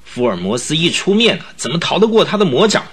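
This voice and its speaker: Anxious suitor for radio play: protective, raspy, highly animated delivery. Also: male voice, fast, heavily acted. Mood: alarmed. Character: anxious, protective gentleman, the heroine's husband-to-be. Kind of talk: Mandarin radio drama acting.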